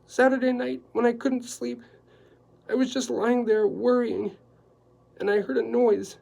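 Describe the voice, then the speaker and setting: A man reading aloud and voicing a nervous, tearful woman housekeeper who talks in a high, fretful whimper. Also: little pitch movement, a little slow, gravelly, darkish tone, heavily acted. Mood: anxious, fretful.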